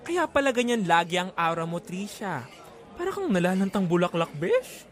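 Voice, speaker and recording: A man's voice: sits high, sing-song, normal speed, a faint crowd. Campy young male best friend man acting. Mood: witty, frank. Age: young adult. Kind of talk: casual conversational audio drama acting. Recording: loud music under the voice.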